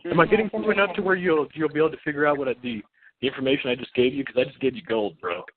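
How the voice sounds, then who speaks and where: A man's voice: a little high, very fast, no room sound. A man phoning in as a caller, talking casually and insistently, with slang and repeated points, over a telephone line. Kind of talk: casual unscripted monologue. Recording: muffled phone conference line.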